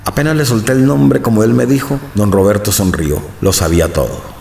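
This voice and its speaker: A man narrating a radio drama in the first person, measured and brooding. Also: on the low side, gravelly, darkish tone, roomy sound, slight background noise.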